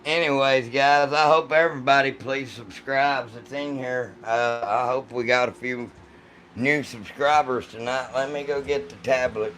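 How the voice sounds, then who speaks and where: This is a man with a rough, weathered voice hosting a casual livestream. He talks in a folksy, joking, foul-mouthed way as he answers his chat. A little background noise sits behind his voice. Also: steady tone, unhurried, a bit bright. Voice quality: deep, rough voice from vocal cord polyps.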